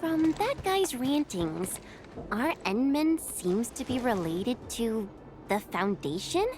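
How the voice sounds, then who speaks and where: A woman playing a casual, inquisitive young teammate in a video game, speaking in an informal, expressive way. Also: a little high, takes it slowly, background noise. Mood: curious. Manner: informal, animated. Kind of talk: video game story voice acting. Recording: prominent music behind the voice.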